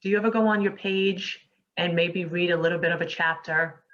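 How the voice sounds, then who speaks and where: A woman with a New York accent hosting a casual interview, chatty and encouraging and quick to laugh. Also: on the low side, steady tone, a bit bright. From United States. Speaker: host. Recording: muffled lo-fi audio.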